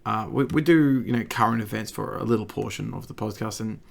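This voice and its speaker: An Australian man hosting a casual podcast chat, croaky and gravelly from a recent cold, stammering through restarts. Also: fairly low pitch, brisk. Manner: stammering restarts, laughs often. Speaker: podcast host.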